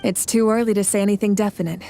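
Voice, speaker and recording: Composed female leader for a game actress, mid-range voice, regal, measured, authoritative and reassuring. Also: medium pitch, fairly even intonation, creaky, mellow, soft background music, close to an everyday voice. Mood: grave, resolute. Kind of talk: video game dialogue acting.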